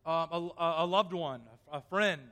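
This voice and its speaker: A man's voice: a little high, moderate pace, pushed and bright, big-room sound. A male pastor preaching a sermon in a church, reading scripture aloud and then pressing his point with rising emphasis.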